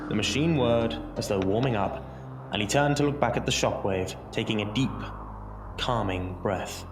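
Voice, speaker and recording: Low-voiced male storyteller in a roomy echo. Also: moderate pace. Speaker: narrator. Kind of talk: dramatic scripted storytelling narration. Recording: roomy echo under music. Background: music.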